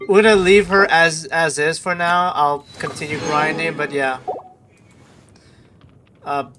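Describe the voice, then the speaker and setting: A man's voice: a little high, bright, forceful tone, slight background noise. A man streaming a game, chatting casually and muttering to himself in short, fragmented remarks. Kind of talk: casual livestream gameplay chat. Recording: game music behind the voice. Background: game music.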